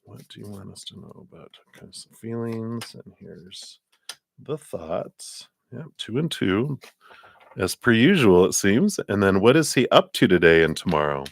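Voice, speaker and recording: A man's voice: lowish voice, big pitch swings, unhurried, creaky, a little hiss or hum. A man hosting a live tarot reading stream, chatting in a rambling, casual way and laughing often, with a tickle in his throat. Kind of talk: casual livestream chat and card reading.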